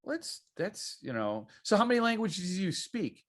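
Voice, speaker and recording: A man's voice: high voice, sing-song, normal speed, a bit bright. A man from the United States hosting a casual interview, chatty and self-deprecating, with frequent restarts. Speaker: podcast host.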